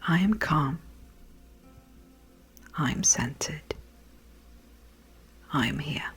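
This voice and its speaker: Soft whispery lady doing guided meditation, super slow and soothing, lowish voice, music behind. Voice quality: breathy, whispery. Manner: soothing, gentle, measured.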